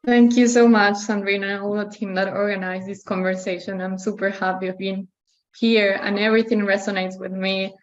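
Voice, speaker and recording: A woman's voice: medium pitch, flat delivery, fairly quick. Peruvian-accented young woman, dull lo-fi sound. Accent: Peruvian Spanish. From Peru. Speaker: participant asking a question. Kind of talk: spontaneous question in a discussion.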